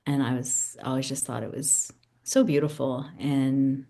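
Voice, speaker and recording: A woman's voice: extremely low pitch, little pitch movement, a little slow, warm tone. A woman in her fifties whose first language is Italian, a guest poet reading and discussing her own poems. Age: 50s. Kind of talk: poetry reading and conversation about own poems.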